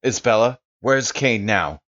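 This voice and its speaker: A man's voice: medium pitch, animated, a little slow, a bit bright, no echo, dull audio. A man improvising casual in-character dialogue with laid-back slang in a role-play podcast.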